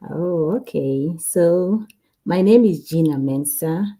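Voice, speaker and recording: A woman from Ghana, a guest on an online interview, speaking in a relaxed way, often laughing and repeating herself. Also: low-pitched, takes it slowly. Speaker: guest, cardiac sonographer. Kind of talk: casual interview.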